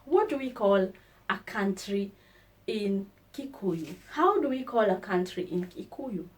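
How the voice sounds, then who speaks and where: A woman leads a beginners' vocabulary lesson at an unhurried pace. She says every word with care and repeats it, and her pitch sits in the middle. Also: animated. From Kenya. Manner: slow and deliberate, repeating each word. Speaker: language teacher.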